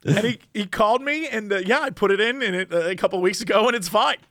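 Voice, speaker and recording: Man, high voice, dry close sound, casual podcast chat, animated storyteller. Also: fast, no room sound. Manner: animated storytelling, acting out other people in a raised voice. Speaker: podcast co-host.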